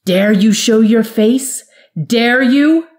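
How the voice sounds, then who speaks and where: A woman voicing a fierce, proud warrior woman who shouts her defiance. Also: on the high side, slow, pitched above the performer's own voice. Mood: defiant, scornful.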